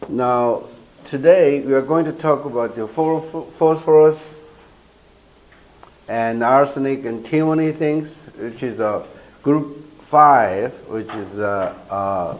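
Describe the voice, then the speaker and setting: Older male professor, Korean accent, hoarse with a cold, slow and halting, filler-heavy lecture delivery; distant camera in a classroom. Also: mid-range voice. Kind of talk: university lecture. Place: lecture room. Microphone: far camera.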